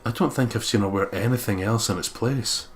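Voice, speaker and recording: A man's voice: on the low side, fairly quick. A man hosting a let's play, reading visual novel narration aloud with some casual commentary.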